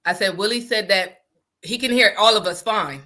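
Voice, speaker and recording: A woman from New Jersey with a deep voice, hosting a live podcast in loose, chatty talk that often restarts mid-sentence. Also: moderate pace, pushed and bright. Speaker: podcast host. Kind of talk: casual live podcast chat.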